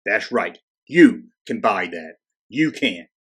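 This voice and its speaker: A man with a husky, unpolished voice narrates as the host of a video channel. His delivery is casual and conversational, as if following a loose script. He drops in sarcastic, wisecracking asides as he goes. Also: lowish voice, lively intonation, takes it slowly, a bit bright.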